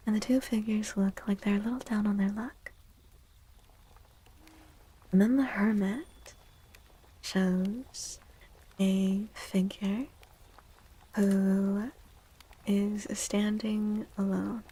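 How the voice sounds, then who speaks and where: A woman speaking softly in a breathy, often whispered ASMR style, gently and soothingly, as she gives a tarot reading. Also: mid-range voice, little pitch movement, poor audio. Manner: slow, gentle, soothing, deliberate with long pauses.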